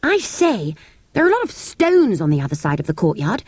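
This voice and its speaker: A woman's voice: medium pitch, huge pitch swings, brisk, a little mellow, slightly rough, dry recording. A woman voicing a cheerful, resourceful young boy full of ideas. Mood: enthusiastic. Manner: enthusiastic.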